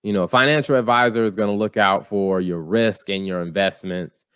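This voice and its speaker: A man hosting a podcast, with a somewhat low voice and an unhurried, explanatory way of walking through each point. His voice is recorded close and dry, with no room sound around it. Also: steady tone, fairly bright tone, smooth, dry recording. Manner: measured, explains step by step. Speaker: podcast host. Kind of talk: casual podcast chat.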